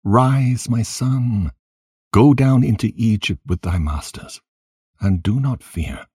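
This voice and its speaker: A man's voice: mid-range voice, takes it slowly, a little mellow, breathy edge, no room sound, clean studio sound, pitched above the performer's own voice. A man reading scripture dramatically as a dead mother's spirit, grieving, tender and prayerful as she consoles her child. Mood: sorrowful comfort. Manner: weeping, praying, tender.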